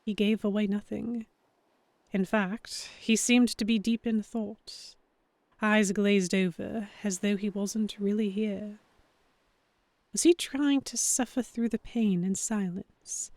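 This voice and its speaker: A woman playing an earnest, anxious young heroine, narrating a dramatic story in the first person with measured expression. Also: mid-range voice, steady tone, darkish tone, dry recording. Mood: introspective. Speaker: narrator and lead character.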